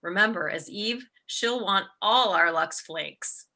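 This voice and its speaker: An actress playing a sharp-tongued, worldly woman of the theater in a radio play, wry and teasing. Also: medium pitch, takes it slowly. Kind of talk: theatrical radio drama acting.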